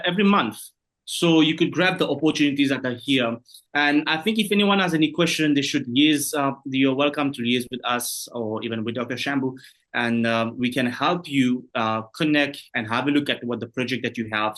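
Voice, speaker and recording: A man's voice: medium pitch, moderate pace, fairly bright tone. Guy running a zoom summit, polite, says uh a lot. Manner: polite, with frequent uhs. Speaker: moderator. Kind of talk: moderating an online business summit.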